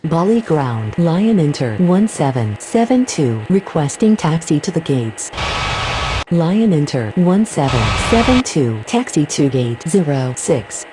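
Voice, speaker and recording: A woman's voice: sits low, very animated, unhurried. A woman playing an airline pilot or controller in a game, speaking scripted air traffic phraseology. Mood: procedural.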